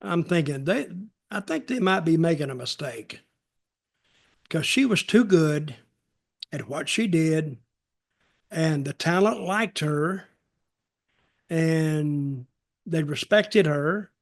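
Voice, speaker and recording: An older man with a somewhat high voice talks casually on a podcast. He gives his opinions haltingly, often repeating a phrase and starting it again. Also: animated, creaky. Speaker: podcast co-host and wrestling veteran. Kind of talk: casual chat, reminiscing and giving opinions.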